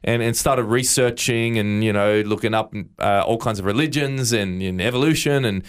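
A man's voice: lowish voice, normal speed, clean tone. A man with an Australian accent, a guest in an interview, earnestly recounting his faith story with frequent stammered restarts. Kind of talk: interview, personal testimony.

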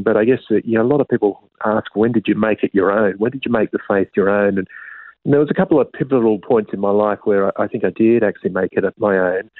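A man's voice: fairly low pitch, no echo. A man in his fifties with an Australian accent, a school principal chatting as an interview guest in a friendly, rambling way with many restarts. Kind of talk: casual interview talk. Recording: tinny phone-like line, dry.

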